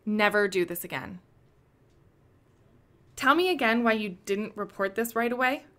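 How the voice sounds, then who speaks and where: A woman's voice: medium pitch, expressive, a little slow, a bit bright. A woman playing a commanding, protective woman in charge in a radio drama, firm and authoritative. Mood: stern. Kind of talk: radio play acting.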